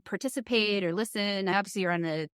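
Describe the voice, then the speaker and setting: A woman's voice: medium pitch, fairly quick, a bit bright, clear, resonant tone, dry recording, clean studio sound. A woman hosting a casual interview, earnest and thinking aloud as she speaks. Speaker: podcast interviewer and host.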